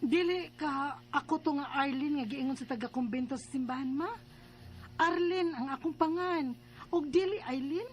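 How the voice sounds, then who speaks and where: Woman acting high-voiced troubled young Cebuano mother. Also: normal speed, slight background noise, close to an everyday voice. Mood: upset. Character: troubled young mother Eileen, confused and pleading. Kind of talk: radio drama acting and letter narration. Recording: music behind the voice.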